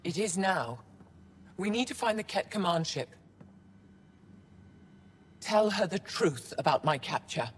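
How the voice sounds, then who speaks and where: A woman's voice: on the low side, expressive, unhurried, pauses often, long reverb tail, a little hiss or hum. An actress playing a dignified older female alien scholar in a video game, speaking formally and with authority. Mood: indignant, commanding. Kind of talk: dramatic video game acting.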